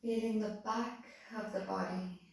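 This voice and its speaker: A woman calmly leading a guided body-scan meditation, giving soothing, unhurried instructions. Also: medium pitch, vocal fry. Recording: very echoey room, voice distant from mic.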